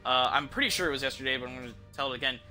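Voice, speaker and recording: A man's voice: medium pitch, racing, pushed and bright, soft background music. A man who streams games, giving casual, rambling commentary and thinking aloud in informal, mildly profane language. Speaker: game streamer.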